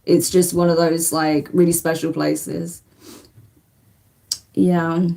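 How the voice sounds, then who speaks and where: A woman with a slightly low voice leads a live tarot reading on a webcam stream. She speaks in a calm, reassuring way and checks in often with her viewers. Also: slow pace, vocal fry. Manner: soothing, repeats "right?" and "okay?". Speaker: tarot reader. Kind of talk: livestream spiritual reading.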